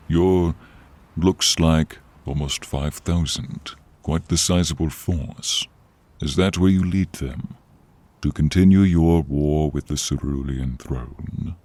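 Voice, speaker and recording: A man voicing a proud, stern, mighty dragon in a fantasy audio roleplay, husky and blunt, with an imposing delivery. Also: takes it slowly, darkish tone, exaggerated voice. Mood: stern, defiant. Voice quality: very deep, husky.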